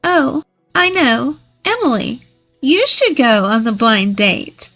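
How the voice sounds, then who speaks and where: A woman's voice: high voice, big pitch swings, slow pace, fairly bright tone, faint music behind, heavily acted. A woman voicing a bossy, snooty older sister in her late twenties, exaggerated and scornful. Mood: pushy, scheming.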